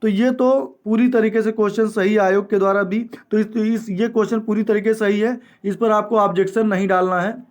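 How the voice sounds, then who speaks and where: High-pitched man teaching. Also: fairly even intonation, brisk. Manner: repeats key statements for emphasis; mixes English terms into speech. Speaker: exam coaching teacher. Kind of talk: explanatory lecture going through exam questions.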